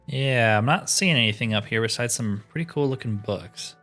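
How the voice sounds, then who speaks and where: A man's voice: mid-range voice, normal speed, bright, forceful tone, soft background music. A man giving casual gameplay commentary, thinking aloud with mild slangy exclamations. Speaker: let's player narrating a roleplay playthrough.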